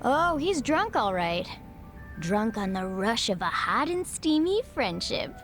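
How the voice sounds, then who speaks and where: High, coy, teasing bar hostess. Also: female voice, sing-song, slow, a bit bright, soft background music, exaggerated voice. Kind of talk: dubbed video game cutscene acting.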